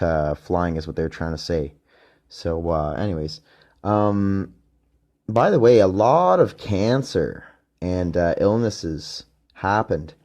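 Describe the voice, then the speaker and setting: A man's voice: low-pitched, a little mellow. A man hosting his own podcast, talking off the cuff in a rambling, profane way with many restarts. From Canada. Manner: profane, hesitant, full of restarts. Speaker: podcast host. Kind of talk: rambling monologue.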